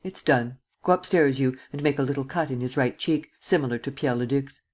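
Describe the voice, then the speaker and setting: A woman's voice: rumbling low, brisk, a little mellow. A woman reading the part of a ruthless, commanding male nobleman in an audiobook, speaking with vehemence. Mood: quiet, assured. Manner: imperious, vehement.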